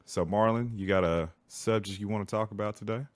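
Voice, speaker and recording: A man's voice: on the low side, brisk, no echo. A man in his forties chatting casually as a podcast co-host, with slangy, laid-back phrasing. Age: 40s. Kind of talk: casual chat.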